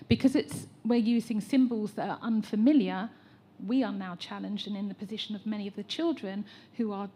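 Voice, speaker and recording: An educator with an English accent speaks from the floor of a public meeting, earnest and measured. Her voice is mellow and warm, and she explains her point like a teacher. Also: mid-range voice. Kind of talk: prepared public comment.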